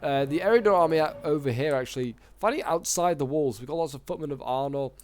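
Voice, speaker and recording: A man with a sore throat, slightly hoarse, giving casual, rambling commentary on a strategy game battle with lots of fillers. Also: on the high side, fast. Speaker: gaming YouTuber casting a multiplayer battle.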